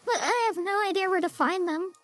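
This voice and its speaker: A woman's voice: very high-pitched, brisk, a bit bright. A woman casually reacting to a video game as a streamer, exclaiming and swearing. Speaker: gaming streamer. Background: game audio.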